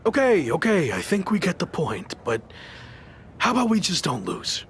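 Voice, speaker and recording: A man's voice: a little high, huge pitch swings, moderate pace, a bit bright, a little hiss or hum, full character voice. A man playing an earnest underdog pro wrestler, a former big-league talent, in a scripted video game cutscene. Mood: wry.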